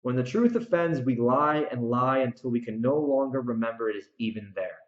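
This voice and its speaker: A man's voice: mid-range voice, animated, brisk. A man hosting a solo podcast in a rambling, emphatic, preachy way, repeating phrases for stress. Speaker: podcast host and author. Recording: roomy echo, slightly dull lo-fi sound.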